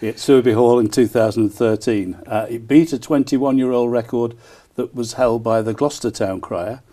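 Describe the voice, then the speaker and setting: A man answers interview questions as a keen event promoter. His voice is dark and mellow at an average male pitch. He talks up the details with enthusiasm, often breaking off for small hesitations. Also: normal speed. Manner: enthusiastic, frequent hesitant ers. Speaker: town crier and festival promoter, interview guest.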